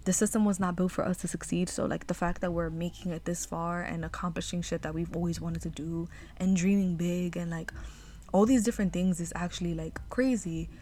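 A young woman in her twenties from New York City talks through a personal solo podcast episode. Her voice sits low, and her delivery is rambling and heartfelt, full of fillers and casual swearing. A faint noise runs behind the recording. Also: steady tone, brisk, mellow. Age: 20s. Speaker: podcast host. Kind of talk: reflective solo podcast monologue.

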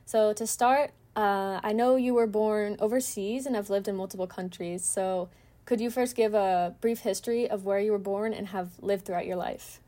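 A woman's voice: medium pitch, fairly even intonation, normal speed, mellow. A young adult woman with an American accent, hosting a student podcast and asking prepared questions politely.

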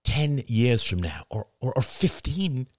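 A man's voice: lowish voice, sing-song, moderate pace, dry recording, studio quality. Audiobook role: intense young family man, insistent, wide-ranging delivery male narrator acting. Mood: pleading. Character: Ian, intense, insistent husband and father. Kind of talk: dramatic audiobook dialogue.

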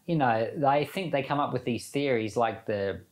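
A man's voice: medium pitch, normal speed. A man giving an informal sermon as a Bible teacher, reading scripture and commenting in a conversational way with rhetorical questions.

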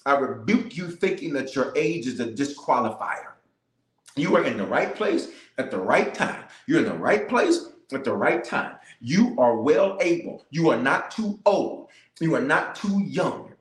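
A man's voice: medium pitch, very animated, unhurried, roomy sound, low-quality recording. A man, a bishop, leading a livestreamed prayer with fervent, repetitive declarations and calls to the viewers. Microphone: cameras in front of him.

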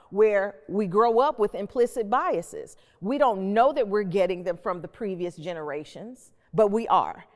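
A middle-aged woman with a medium-pitched voice leads a reflective discussion. She is deliberate and emphatic, repeating phrases for weight. Her voice carries long hall reverb. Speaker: host and panel moderator.

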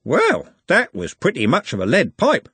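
A brash cart driver from a storybook voiced by a male narrator. He banters bluntly in a raised, animated voice, slightly husky and closely recorded. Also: a little high, moderate pace, no echo, voice pushed up, exaggerated voice. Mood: cheeky, blunt.

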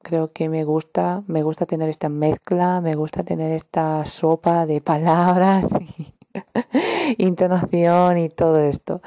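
Woman, slow and dark-voiced. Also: deep voice, dry recording, dull audio. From Romania. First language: Romanian. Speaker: podcast host. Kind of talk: casual solo podcast, reflective.

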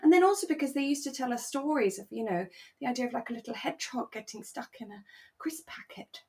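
A woman's voice: fairly high pitch, lively intonation, fast, vocal fry, warm tone. A British woman chatting informally as the co-host of a podcast. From England. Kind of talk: relaxed chat discussing a radio drama.

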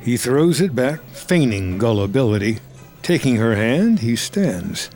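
A man narrating scripted drama action in measured, terse storytelling. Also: mid-range voice, very animated, darkish tone, soft background music.

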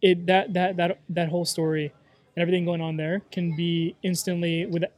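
Host, man in his late twenties, easygoing talker with a slightly raised pitch. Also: level tone, a little mellow, no echo. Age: 20s. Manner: repeats words, quick agreeing fillers. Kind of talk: casual chat.

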